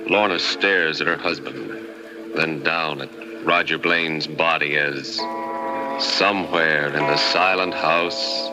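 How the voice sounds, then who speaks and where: A man's voice: rumbling low, steady tone, slow pace, over music, low-quality recording. A man giving solemn scripted horror narration in an old radio style.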